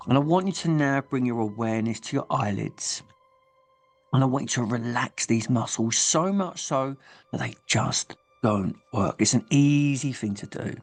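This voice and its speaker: A man leading a guided hypnosis session in a soothing, hypnotic manner, pausing deliberately and letting phrases trail off softly. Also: mid-range voice. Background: music.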